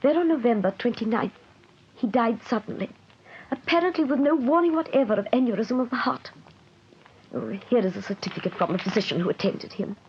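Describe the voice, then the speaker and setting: An actress playing a scheming widow who poses as a grieving wife, in 1950s radio drama style. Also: female voice, on the high side, animated, a little fast, lightly acted. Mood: mournful. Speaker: claimant widow. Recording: muffled vintage radio transcription with hiss.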